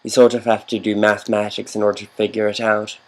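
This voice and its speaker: A man's voice: fairly low pitch, completely flat delivery, fast. A man giving casual, rambling commentary over a game, wandering into fannish asides.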